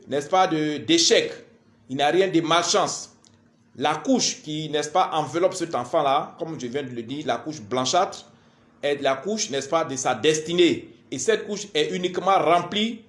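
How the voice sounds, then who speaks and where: A man gives a spiritual lecture in a deliberate, didactic, repetitive manner, in short halting phrases. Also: a little high. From Ivory Coast. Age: 40s. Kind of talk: spoken lecture to camera. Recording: echoey room sound.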